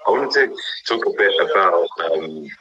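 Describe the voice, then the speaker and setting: A man's voice: low-pitched, lively intonation, normal speed, pushed and bright, husky. A man hosting a live interview chat, upbeat and encouraging, often repeating his phrases. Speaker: host. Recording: dull lo-fi video call audio.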